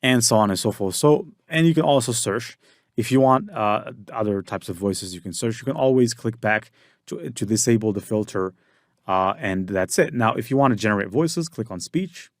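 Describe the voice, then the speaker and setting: A man with a fairly low voice walks through a software tutorial in a loose, casual way. He often uses fillers and starts sentences over. His voice is dry and close to the mic. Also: expressive, normal speed, no echo. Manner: casual explaining with frequent fillers and restarts. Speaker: tutorial instructor.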